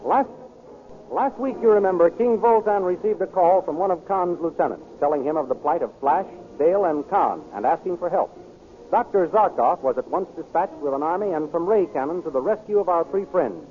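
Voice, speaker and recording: A man's voice: on the high side, animated, brisk, soft background music. A man announcing and narrating a 1930s radio serial in a dramatic, declaiming manner. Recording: tinny old radio transcription.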